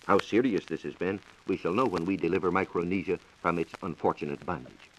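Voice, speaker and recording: Low-voiced American man, formal radio lecturer. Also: a bit bright, a little hiss or hum, low-quality recording. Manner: measured, formal lecturing delivery. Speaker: science reporter. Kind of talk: scripted 1940s radio science talk. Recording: 1940s radio broadcast recording.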